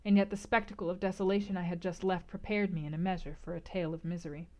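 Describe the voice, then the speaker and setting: A woman's voice: on the low side, fairly even intonation, quick pace, dark tone, lo-fi sound. A woman reading literary prose aloud as a book club host, with theatrical, emphatic phrasing. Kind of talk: dramatic audiobook-style literary narration.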